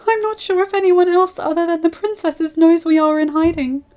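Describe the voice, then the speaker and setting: A woman's voice: high-pitched, takes it slowly, warm tone, highs cut off, soft background music, voice pushed up. A woman voicing an elegant, theatrical female unicorn fashionista. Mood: dramatic. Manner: theatrical and emotional.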